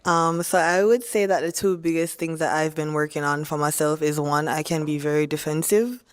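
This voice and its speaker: A woman's voice: sits low, moderate pace, smooth. A woman from Jamaica on a dating show, talking openly and chattily about herself. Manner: open, chatty, laughs easily.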